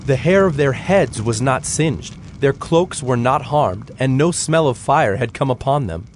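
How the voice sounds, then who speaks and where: Male narrator for dramatized scripture: measured, solemn, mid-pitched, slight noise behind. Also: animated.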